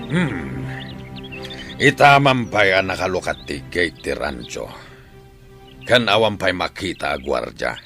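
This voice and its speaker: A man with an Ilocano accent playing the gruff, bossy leader of an armed village gang in a theatrical radio drama. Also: low voice, very animated, a little slow, pauses often, pushed and bright, faint music behind, full character voice. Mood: sly muttering.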